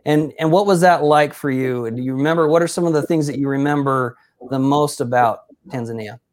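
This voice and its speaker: A man's voice: mid-range voice, brisk. A man hosting a casual sports interview, upbeat and effusive in his praise of his guest. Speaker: interview host.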